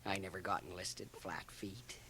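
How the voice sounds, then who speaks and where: A man's voice: low-pitched, little pitch movement, moderate pace, pushed and bright, slight background noise. A man playing a folksy, gossipy small-town barber with a Canadian accent in a radio drama, chuckling and dropping into confidential asides. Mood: chatty, teasing. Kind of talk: radio drama acting, rambling monologue. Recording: 1980 radio drama, home cassette transfer.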